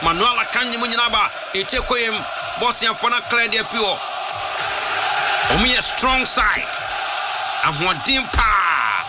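A man giving live football commentary, shouting excitedly and mixing Twi and English. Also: sits high, a little slow, pauses often, over a noisy crowd, very noisy.

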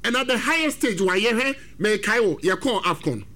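A man with a Ghanaian accent hosting a football talk segment, animated and switching between English and Twi. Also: high voice, normal speed. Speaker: sports radio host.

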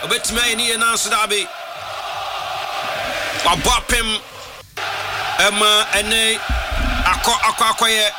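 A man with a Ghanaian accent calling a live football match on radio, excitable and counting the passes aloud. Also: sits high, slow, long pauses. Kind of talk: live play-by-play commentary. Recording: loud stadium crowd and noise behind.

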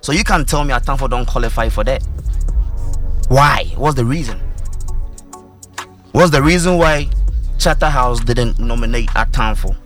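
A man's voice: mid-range voice, normal speed, pauses often, a bit bright, soft background music. A young Ghanaian man, a musician, talking emphatically in an interview and repeating his points with slangy phrasing. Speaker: musician guest. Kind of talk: heated interview talk.

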